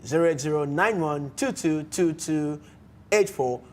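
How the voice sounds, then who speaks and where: Man, Nigerian accent, sports show host, animated, repeats words, slightly high-pitched. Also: measured pace, a little hiss or hum. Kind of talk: casual studio chat with phone-in.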